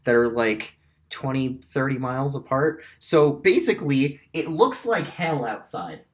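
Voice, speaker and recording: Raspy man, game master, slow rambling narration, middle pitch. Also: lively intonation. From Wyoming. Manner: rambling, with many fillers and laughs.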